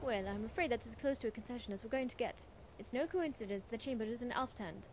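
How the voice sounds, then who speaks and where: A woman's voice: a little high, fast talker, darkish tone, a little hiss or hum, natural voice. A woman playing a haughty, witty scholar-adventurer in a video game, speaking in a smug, articulate and wry way. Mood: smug, measured. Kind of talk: video game character dialogue.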